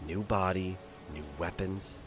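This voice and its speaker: A man's voice: sits low, steady tone, measured pace, over loud music. A man playing a sardonic, seasoned space skipper in a sci-fi audio drama, with a taunting, stubborn manner. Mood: mocking, defiant.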